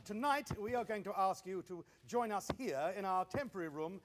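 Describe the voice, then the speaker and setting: A deferential 1920s wireless official in a period radio play. He is formal and eager to please, high in pitch, with faint ambience around him. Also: animated, brisk, background noise. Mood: apologetic, reassuring. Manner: polite, formal welcoming. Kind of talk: period radio drama acting.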